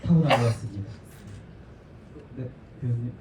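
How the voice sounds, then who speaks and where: Polite moderator in a loud, echoey hall. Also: male voice, mid-range voice, moderate pace. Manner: polite, brief. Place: cinema auditorium. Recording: distant, echoey, noisy hall.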